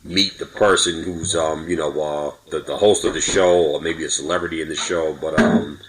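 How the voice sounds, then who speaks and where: A man's voice: a bass voice, steady tone, normal speed, rough recording. A man talking casually as a podcast guest, giving hedging, roundabout explanations and recollections.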